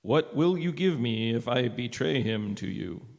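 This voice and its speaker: Guy reading a grudging schemer disciple in a bible play, creaky mid voice, hall reverb. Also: mid-range voice, animated, unhurried, a little mellow. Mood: scheming. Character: Judas and indignant disciples, scheming grudging man. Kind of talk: readers' theater scripture reading.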